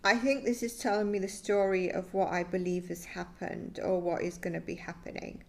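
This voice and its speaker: A woman's voice: fairly low pitch, little pitch movement, normal speed, vocal fry. Woman musing through a tarot reading. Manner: musing and tentative, often trailing off. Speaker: psychic tarot reader. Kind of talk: thinking-aloud tarot reading to camera.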